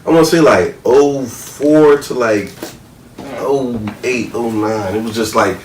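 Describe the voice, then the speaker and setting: A man's voice: medium pitch, takes it slowly, a little mellow. An American man in his thirties chatting casually and opinionatedly in slangy, profane speech, repeating his verbal tags. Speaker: podcast panelist. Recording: roomy, distant mic, faint noise.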